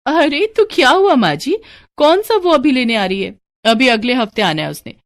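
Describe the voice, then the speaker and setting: A woman's voice: high voice, lively intonation, moderate pace, pitched above the performer's own voice. A woman voicing a cunning, boastful young daughter-in-law who snaps back defensively in a cartoon story. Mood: brazen.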